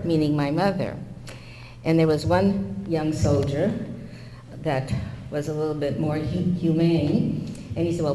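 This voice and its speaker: An older man with a soft, mellow voice tells a story from long ago, pausing now and then to find his words. The sound is roomy, with a long reverb tail and a faint hum behind it. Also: on the high side. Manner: unhurried recollection with small hesitations. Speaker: interviewee recalling wartime childhood. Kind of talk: personal storytelling.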